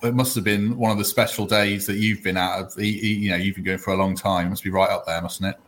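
A man's voice: sits low, fairly even intonation, fairly quick, a bit bright, a little hiss or hum. A man hosting a football podcast from home, chatting casually with friendly, self-deprecating asides. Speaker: podcast host.

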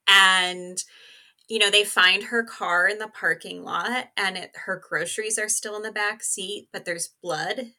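A woman with an extremely bright, sharp voice at an average female pitch. She is a podcast guest telling true-crime cases casually, explaining step by step in loose, chatty phrasing. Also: steady tone, measured pace. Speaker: podcast co-host as interview guest. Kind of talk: casual conversational case storytelling.

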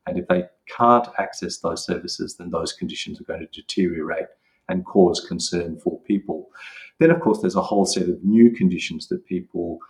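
Very deep-voiced middle-aged man explaining carefully. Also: steady tone, low-quality recording. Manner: measured, explanatory, with thinking pauses. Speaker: psychiatry professor and interviewed guest. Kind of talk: expert interview explanation.

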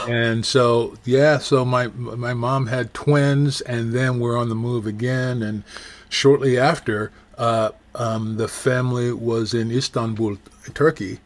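A man's voice: mid-range voice, steady tone, slow pace. Chatty middle-aged American man telling stories. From United States. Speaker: interview guest, actor and theater professor. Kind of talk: casual conversational storytelling.

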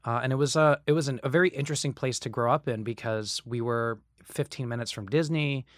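A young man from Florida, a guest on a podcast, chatting casually with quick, wry humor in a studio booth. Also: mid-range voice, no echo. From Florida, USA. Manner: quick-witted, wry, dry jokes. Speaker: podcast guest, stage actor. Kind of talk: casual chat. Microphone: studio microphone.

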